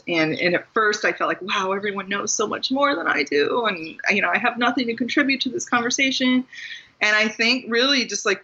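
A woman's voice: medium pitch, pushed and bright, highs cut off. An American woman talking casually and reflectively as the guest in an interview about her own experience. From Maryland, US. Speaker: guest author and writing teacher.

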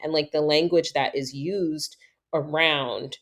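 A woman from New York hosting a casual conversation, reflective and thoughtful, with many fillers and lively storytelling. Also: deep voice, slow.

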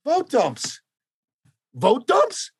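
A man's voice: extremely high pitch, wildly swooping pitch, very slow, husky, no echo, clean studio sound. A man from Canada hosting a political podcast, speaking with passion and repeating phrases for emphasis. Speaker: podcast host. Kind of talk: impassioned political podcast talk.

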